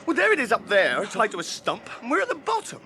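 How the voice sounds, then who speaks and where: An actor playing a loyal, grumbling hobbit servant in a radio drama, blunt and homely. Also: male voice, very high-pitched, huge pitch swings, fast, bright, forceful tone, slight background noise, heavily acted. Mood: dismayed. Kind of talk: 1980s British radio drama acting.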